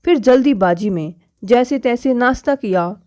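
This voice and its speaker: A woman narrates a domestic moral tale in a storytelling style. Her voice sits fairly high in pitch as she reads the scripted prose. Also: expressive, moderate pace, darkish tone.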